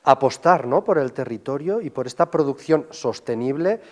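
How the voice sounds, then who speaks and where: A man's voice: mid-range voice, lively intonation, normal speed. A man conducting a conversational on-location television interview, speaking in an effusive, complimentary way.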